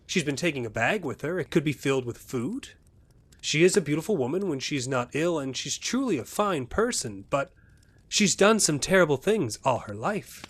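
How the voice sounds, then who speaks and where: An evasive, plausible suspect, medium pitch. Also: male voice, a bit bright, breathy edge. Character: Stapleton, evasive man feigning reluctant concern. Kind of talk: audio drama acting.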